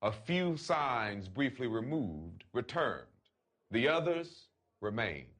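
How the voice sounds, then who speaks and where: A man reads a written letter aloud in a solemn, oratorical way, with deliberate phrasing and emphatic pauses. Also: medium pitch, big pitch swings, a bit bright. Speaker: reader of a letter.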